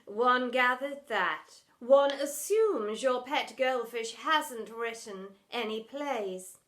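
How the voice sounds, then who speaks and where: An imperious, posh headmistress, put on by a woman reading a storybook, bright and forceful. She is pompous and exasperated, stretching out her words slowly as she lays down the law. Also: on the high side. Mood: weary, condescending. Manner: pompous, commanding, refers to herself as "one". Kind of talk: children's storybook character voice.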